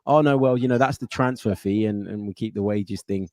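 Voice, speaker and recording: Man, lowish voice, dry close sound, brisk chatty host. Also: darkish tone, no echo. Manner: brisk, chatty, calls people mate. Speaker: podcast host taking callers. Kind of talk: casual call-in football chat.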